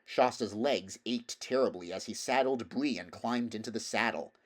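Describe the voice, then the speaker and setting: A man with a fairly low voice narrates a storybook. He reads scripted prose as an audiobook reader would. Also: moderate pace.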